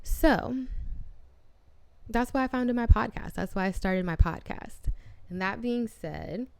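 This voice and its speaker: A woman's voice: on the high side, takes it slowly, creaky, smooth. A woman in her twenties hosting her own podcast solo, chatty and upbeat, laughing at herself and using casual slang. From half Puerto Rican. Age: 20s. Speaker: podcast host.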